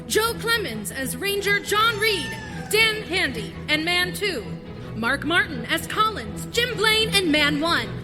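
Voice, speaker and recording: A woman's voice: very high voice, sing-song, slow pace. A woman announcer reading a scripted sign-off for a live radio theater show. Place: theater stage. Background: music.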